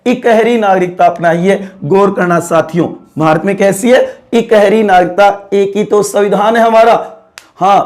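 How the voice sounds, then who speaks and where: A man with a fairly high voice delivers an exam-prep civics lesson. He repeats each key term several times and keeps asking his students whether they follow. Near the end he slows into drawn-out, emphatic slogans. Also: darkish tone. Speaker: teacher.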